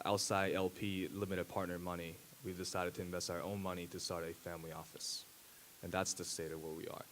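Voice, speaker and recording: A man in his thirties, a native Japanese speaker, giving a conference talk at a podium with a handheld mic, pausing often with fillers and restarts. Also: sits low, a little hiss or hum. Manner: halting, many fillers and restarts. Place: large dark auditorium stage.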